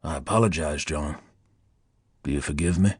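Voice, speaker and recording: A man's voice: very deep voice, moderate pace, slightly rough, no echo, clean studio sound, pitched below the performer's own voice. A man voicing a sly, menacing American seducer with a languid, mocking Southern drawl and a velvety, whispery purr. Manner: lazy drawl, mocking and insinuating.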